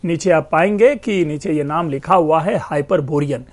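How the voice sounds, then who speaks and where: A man with a notably high voice delivers a university-style lecture on language. He explains patiently, calls his listeners friends and leans hard on key words to make a point. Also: moderate pace. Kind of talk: educational lecture.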